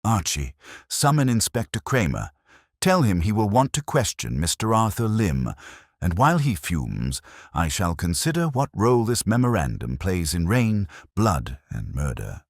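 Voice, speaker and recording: A man's voice: low-pitched, huge pitch swings, unhurried, gravelly, no echo, clean studio sound, pitched above the performer's own voice, full character voice. A man voicing an imperious, ponderous genius detective with a formal, pompous manner in an audiobook mystery. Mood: imperious, cold.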